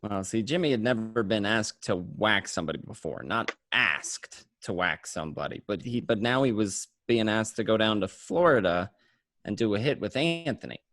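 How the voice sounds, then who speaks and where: A man playing a weary mobster turned informant, telling his story in a conversational, streetwise, halting way. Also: fairly low pitch, animated, fairly bright tone, dry recording. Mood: wary, matter-of-fact. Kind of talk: first-person crime narration, acted table read.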